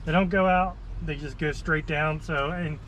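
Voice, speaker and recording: A man's voice: fairly high pitch, steady tone, moderate pace, a bit bright, lo-fi sound. A man giving a casual, unscripted hands-on installation walkthrough, thinking aloud informally as he works. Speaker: vehicle installer presenting a how-to video. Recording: noisy, with clear background vehicle noise.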